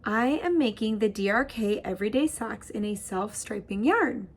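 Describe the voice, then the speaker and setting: A woman in her thirties hosting a casual knitting podcast from her home craft studio, chatty and bubbly, with a husky voice. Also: mid-range voice, lively intonation, normal speed. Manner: bubbly, chatty, laughs often, gestures while talking. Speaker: host. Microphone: webcam framing at desk.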